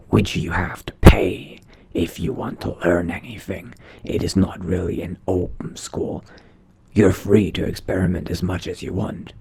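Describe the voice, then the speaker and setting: A man playing a male dark elf vampire mage in a video game roleplay, speaking in a hushed, deliberate, brooding way. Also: low-pitched, full character voice. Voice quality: husky, whispery, gravelly. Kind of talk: in-character game roleplay monologue.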